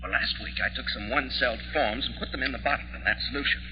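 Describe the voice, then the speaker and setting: A man's voice: mid-range voice, fast, natural voice. An American actor playing a middle-aged, grumbling, absent-minded father and amateur scientist in a vintage radio drama, fussy and preoccupied. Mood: absorbed, musing. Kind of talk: 1940s radio drama acting. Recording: dull vintage radio recording with hum.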